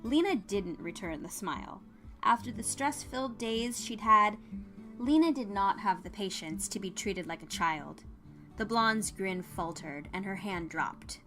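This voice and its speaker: A woman's voice: medium pitch, expressive, a little slow, fairly bright tone. Casting: female story narrator, mid-range voice, reading scripted fiction over music.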